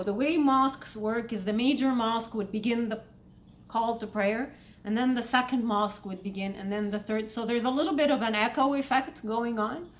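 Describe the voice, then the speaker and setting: Emphatic woman with an Egyptian accent. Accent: Egyptian Arabic. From Saudi Arabia. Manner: emphatic, spikes in pitch when making a point. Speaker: vlogger. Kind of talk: casual commentary monologue.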